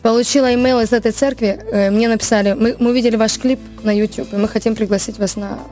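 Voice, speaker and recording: Earnest Ukrainian-born woman singer, higher voice. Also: fast talker, soft background music. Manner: animated, earnest storytelling with many restarts. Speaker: worship singer, guest. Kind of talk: casual interview talk.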